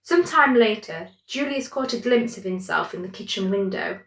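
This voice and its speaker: A woman's voice: mid-range voice, animated, moderate pace, a bit bright, roomy sound. A woman reading a scripted bedtime story as its narrator.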